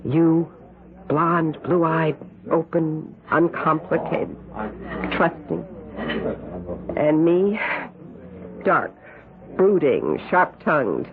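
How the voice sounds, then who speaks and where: An actress playing a dark, brooding psychic older sister who speaks with intense warning, in an old radio drama. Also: female voice, deep voice, animated, extremely slow, pauses often, crowd noise, full character voice. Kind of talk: 1970s radio drama acting. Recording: phone-like old radio recording.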